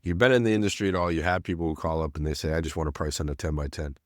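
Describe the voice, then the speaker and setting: A middle-aged man with a slightly nasal voice from a cold, explaining a software setup in a loose, rambling way full of "you know" and restarts. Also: rumbling low, fairly even intonation, rapid-fire, dry recording, clean studio sound. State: getting over a cold. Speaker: self-storage business owner teaching automation. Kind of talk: screen-share tutorial narration.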